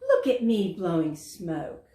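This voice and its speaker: A woman voicing an excitable young boy dragon who shows off, exclaiming boastfully in a children's storybook read-aloud. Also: fairly low pitch, takes it slowly, echoey room, full character voice. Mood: amazed, excited.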